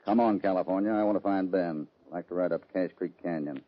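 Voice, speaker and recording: A man playing a calm, plain-spoken cowboy hero with a dry, easygoing manner in an old-time radio western. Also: sits low, fast talker, a little hiss or hum, close to an everyday voice. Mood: steady, alert. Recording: dull old radio recording.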